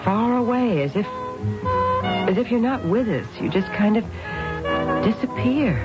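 An actress playing an inquisitive, observant wife in a radio drama, conversational and gently needling. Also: female voice, a little high, lively intonation, measured pace. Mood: concerned, needling. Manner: conversational, probing, wry. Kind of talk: 1970s radio drama acting. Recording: dull old radio recording with music.

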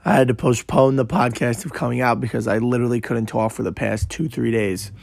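A young man, hosting a podcast and telling a story in a brash, profane, animated way, his voice hoarse and worn out. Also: medium pitch, brisk, no room sound, clean studio sound. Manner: brash, swearing, animated retelling. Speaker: podcast host. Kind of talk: casual profane storytelling monologue.

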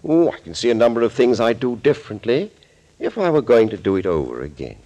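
An actor playing a suave, smug villainous doctor in a 1940s radio thriller, speaking politely and wordily. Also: male voice, mid-range voice, sing-song, fast, warm tone, lightly acted. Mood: smug, candid. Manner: polite, wordy, theatrical confessing.

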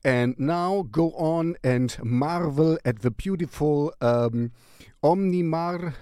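Male music show host, leisurely and halting, playfully distracted, very little room sound. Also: on the high side, dark tone, clear, resonant tone, no echo, studio quality. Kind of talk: casual rambling music recommendation.

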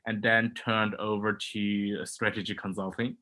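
A man's voice: lowish voice, flat delivery, measured pace, bright, forceful tone. A man, a guest in a podcast interview, speaking hesitantly with many fillers. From Germany. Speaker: entrepreneur guest.

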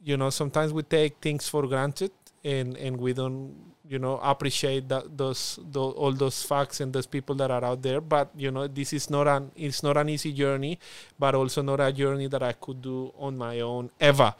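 A man's voice: medium pitch, little pitch movement, moderate pace, clear, resonant tone, dry recording. A young man with a Spanish accent, speaking Spanish as his first language, talking earnestly in an interview, full of fillers and restarts. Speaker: guest. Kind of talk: reflective conversational interview.

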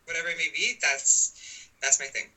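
A woman's voice: deep voice, moderate pace, pushed and bright, low-quality recording. A woman from Canada, guest on an interview podcast, telling her story in a rambling, filler-heavy way. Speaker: podcast guest, writing coach. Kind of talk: casual interview chat.

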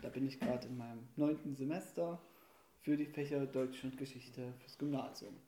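A man's voice: medium pitch, fairly even intonation, moderate pace, dark tone. A man in his mid-twenties chatting casually as a podcast guest in a kitchen studio. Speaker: podcast guest, student teacher. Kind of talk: casual chat. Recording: echoey room, faint noise.

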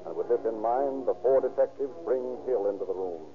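A man giving formal, measured, portentous scripted narration in the manner of 1930s radio drama. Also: on the low side, expressive. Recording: tinny old radio recording with music.

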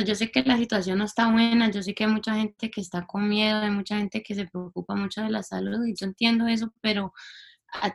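A woman's voice: medium pitch, gravelly. A young woman from Canada, a singer-songwriter guest, speaking in a reflective, chatty way and slipping in English words. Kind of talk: casual interview talk.

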